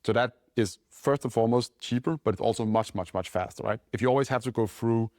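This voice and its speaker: Man, Danish accent, rapid loose speech, lowish pitch, dry close sound. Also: darkish tone, no room sound. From Denmark. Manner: quick, loose talk full of "like" and "right?". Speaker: company founder guest. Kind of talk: casual podcast interview.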